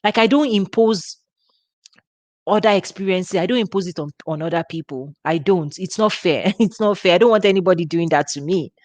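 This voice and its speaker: Nigerian woman giving a relaxed talk. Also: on the low side, moderate pace, dry recording. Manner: conversational, with frequent restarts and laughs. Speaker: ministry host. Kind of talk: informal teaching talk.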